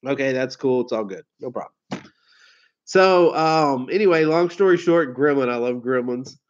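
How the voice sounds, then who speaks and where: A man hosting a casual livestream. He tells rambling stories, laughs and swears easily, and sounds hoarse from a head cold. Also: mid-range voice, moderate pace. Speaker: car sales livestream host. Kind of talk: casual livestream chat with viewers. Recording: dull lo-fi stream audio.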